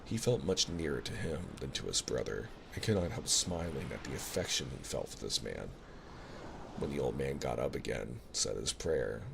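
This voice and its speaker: Male ASMR storyteller, rock-bottom pitch, unhurried scripted reading, ocean sounds clearly audible behind him. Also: fairly bright tone, slight background noise. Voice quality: very deep, gravelly. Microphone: binaural ASMR microphone, close.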